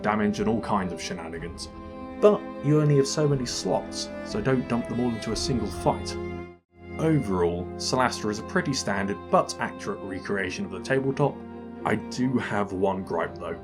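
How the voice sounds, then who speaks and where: Low-voiced man narrating a game review. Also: lively intonation, normal speed, music in the background. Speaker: video game reviewer, channel host. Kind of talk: scripted comedic review narration.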